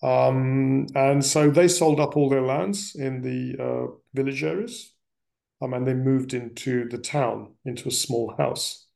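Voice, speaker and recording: A middle-aged man telling family history in a reflective, conversational interview style, often pausing and circling back mid-phrase. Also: mid-range voice, steady tone, creaky, mellow. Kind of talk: reflective personal storytelling in casual conversation.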